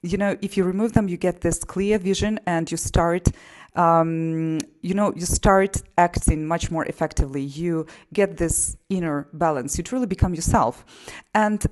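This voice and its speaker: A woman's voice: on the low side, moderate pace, darkish tone. A woman from Poland with a Polish accent, explaining her music path and a self-help method in a casual monologue full of "you know". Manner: frequent fillers like "you know" and "you see". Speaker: singer and GSR practitioner.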